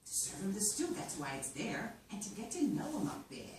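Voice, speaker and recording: An actress reading the part of a practical mother who runs a diner, at a script reading, with a brisk, motherly manner. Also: mid-range voice, very animated, darkish tone, lightly acted. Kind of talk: script table read. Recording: echoey room, far mic, noise.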